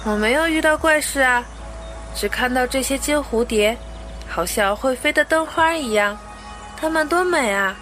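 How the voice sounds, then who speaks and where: A small, brave boy prince acted by a woman, innocent and gentle, with a high voice. It is audio drama acting, laid over clearly audible music. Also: expressive, moderate pace. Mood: earnest, innocent.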